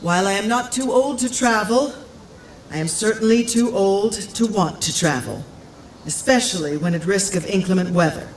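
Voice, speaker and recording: An actress playing an elderly, composed town speaker in an audio drama, with a measured, formal, dry way of talking. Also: female voice, mid-range voice, reverberant, slight background noise, natural voice, rough recording. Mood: formal, weary. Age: older.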